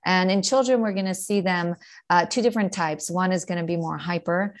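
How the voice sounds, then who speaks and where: A woman's voice: fairly low pitch, brisk. A woman in her forties with a New York accent, a guest explaining her work with confident, list-like answers in a podcast interview. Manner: confident, explanatory, speaks in long lists. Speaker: guest, educator and author.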